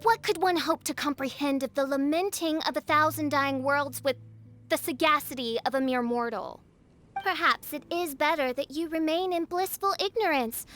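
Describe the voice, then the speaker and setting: A woman's voice: high voice, a bit bright. A woman playing a grandiose young princess of doom in a video game, with archaic, melodramatic diction. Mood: haughty. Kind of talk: theatrical video game voice acting. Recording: music behind the voice.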